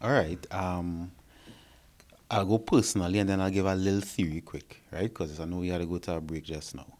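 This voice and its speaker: A man's voice: deep voice, fairly even intonation, a little fast, darkish tone. A man who works as a therapist and behavior consultant, explaining his ideas on a discussion panel and often checking in with "right?".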